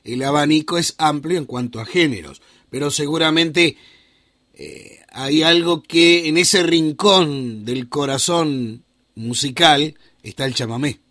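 A fifty-year-old man with an Argentine Spanish accent, casually interviewing and haltingly repeating words as he forms his questions. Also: fairly high pitch, pushed and bright, dry recording, studio quality. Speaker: radio interviewer, host.

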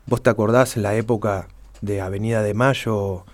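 A man's voice: on the low side, steady tone, moderate pace. A middle-aged man with a Buenos Aires Spanish accent, chatting and reminiscing as a musician being interviewed. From Argentina (Buenos Aires area). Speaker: interview guest, flamenco musician. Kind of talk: casual conversational interview.

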